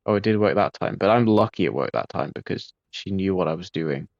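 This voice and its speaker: A man giving relaxed post-game commentary over his gameplay, laid-back and self-critical, with frequent ums and uhs. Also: low-pitched, brisk, creaky, no echo, muffled recording. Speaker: gaming video creator.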